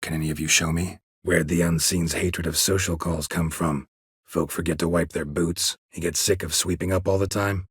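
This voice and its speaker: An actor playing a stoic, world-weary monster hunter in a video game, with a gravelly, husky voice and terse, dry, deadpan delivery. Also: male voice, deep voice, moderate pace, no room sound. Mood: guarded, probing.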